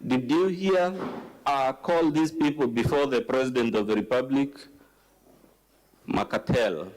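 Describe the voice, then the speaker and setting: Deliberate Kenyan man questioning a witness. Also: mid-range voice, darkish tone, poor audio. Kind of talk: formal legal questioning. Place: legislative chamber hearing.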